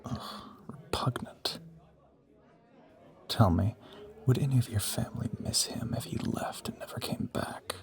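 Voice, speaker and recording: A man voicing an ancient, suave vampire in an intimate romantic audio roleplay, teasing and possessive, with a breathy whisper and formal, condescending phrasing. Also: medium pitch, a little slow, long pauses, a little mellow. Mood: disdainful, menacing.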